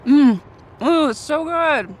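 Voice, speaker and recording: An actress playing a playful, teasing young woman in a romantic comedy audio drama, giggly and excitable in a conversational way. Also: sits high, takes it slowly. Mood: teasing, giddy.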